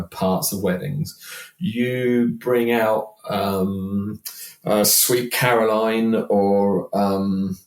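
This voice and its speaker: A man's voice: on the low side, darkish tone. Roomy-sounding British man of sixty. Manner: rambling, self-deprecating. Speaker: podcast guest, working function singer. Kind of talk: casual interview chat.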